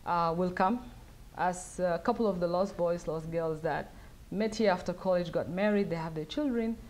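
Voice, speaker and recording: A middle-aged woman from South Sudan answering audience questions at a lectern, speaking thoughtfully with many pauses and fillers. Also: on the low side, a little mellow. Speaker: guest speaker. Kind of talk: lecture Q&A answers. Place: wood-paneled event room, at a lectern. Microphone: lectern mic.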